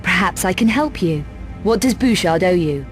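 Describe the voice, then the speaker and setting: A woman's voice: lowish voice, lively intonation, normal speed, soft background music, lightly acted. A woman playing a tough, self-assured adventurer in a video game, with a terse, dry, unflappable delivery. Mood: firm. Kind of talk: video game cutscene acting.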